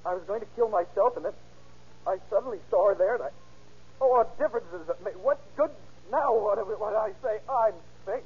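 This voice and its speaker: A man's voice: high voice, fairly quick, breathy edge. An actor playing an intense, slangy, lovesick young man in an old-time radio crime drama. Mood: anguished, bitter. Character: distraught, lovesick young man confessing to a shooting. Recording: dull, noisy vintage radio recording.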